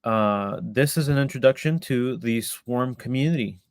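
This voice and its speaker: Smooth-voiced man presenting with many pauses. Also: medium pitch, dry recording, clean studio sound. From El Salvador. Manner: hesitant, many ums and restarts. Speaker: community founder presenting. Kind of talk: live online presentation.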